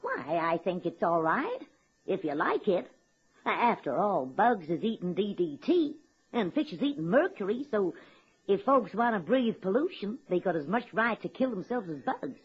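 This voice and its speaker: A woman's voice: mid-range voice, big pitch swings, normal speed, slightly rough. An actress playing a blunt, folksy working-class woman running for office in a radio comedy. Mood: opinionated. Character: plain-spoken, folksy, feisty working-class woman candidate. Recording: dull lo-fi old radio recording.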